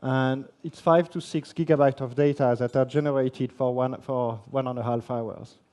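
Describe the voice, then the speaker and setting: French man, conference speaker, mellow low-toned voice, halting delivery with fillers. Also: fairly even intonation. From France. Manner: halting, many fillers and restarts. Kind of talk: technical conference presentation. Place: conference hall.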